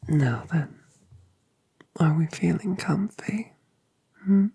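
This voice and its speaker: A woman voicing a colossal, doting mother dragoness, whispering breathily and cooing gentle questions in an ASMR roleplay. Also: on the low side, lively intonation, takes it slowly, vocal fry, breathy edge, dry recording, full character voice. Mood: tender.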